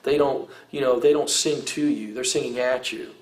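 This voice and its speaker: A man's voice: mid-range voice, steady tone, moderate pace, low-quality recording. A middle-aged man who sings rock, talking in a casual interview, rambling with many fillers and restarts. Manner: rambling, heavy use of "you know" and "I mean", frequent restarts. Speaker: rock vocalist giving advice.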